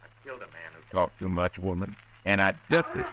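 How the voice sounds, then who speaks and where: A menacing outlaw. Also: male voice, sits low, huge pitch swings, brisk, bright, forceful tone, full character voice, rough recording. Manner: threatening, gruff. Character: menacing outlaw horse thief, middle-aged man. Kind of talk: 1950s radio acting.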